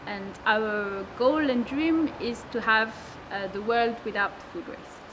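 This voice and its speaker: A woman's voice: on the high side, takes it slowly. Hesitant woman in her twenties, noisy backdrop. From Lebanon and France. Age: 20s. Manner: hesitant, many fillers and restarts. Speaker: food waste network founder. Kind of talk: casual interview answers. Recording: noisy background.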